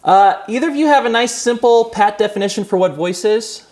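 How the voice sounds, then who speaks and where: A man's voice: high-pitched, brisk, darkish tone. A man in his thirties hosting a podcast, reading a scripted opening and then chatting with guests in a wry, self-deprecating way. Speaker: podcast host. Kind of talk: scripted intro, then casual chat.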